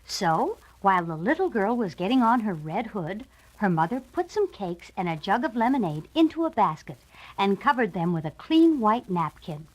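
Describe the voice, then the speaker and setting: A woman narrates a children's read-along storybook. Her medium-pitched voice is very expressive, rising and falling widely as she reads the scripted tale. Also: moderate pace, poor audio.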